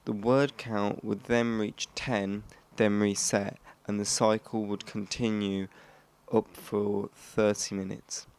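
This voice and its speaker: Low, gravelly-edged man narrating a script. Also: level tone, slow pace, a little mellow. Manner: stumbles over and re-reads scripted phrases. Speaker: host of a shortwave radio history channel.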